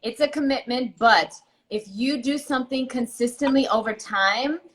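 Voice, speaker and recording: A woman's voice: a little high, normal speed. A woman guest in a casual interview, talking enthusiastically and casually about beauty tips. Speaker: guest, beauty ebook author.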